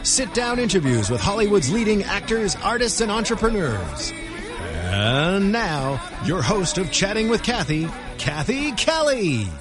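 A man's voice: high voice, very animated, a little slow. A man reading a network intro as an announcer in a showy voice-over. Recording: voice-over over music.

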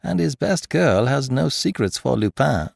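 A man reading aloud as a cocky, playful gentleman thief who teases and mocks in a theatrical way. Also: sing-song, a little slow, gravelly, no echo, clean studio sound, heavily acted. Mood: mocking. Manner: teasing, theatrical swings in pitch. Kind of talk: audiobook character reading.

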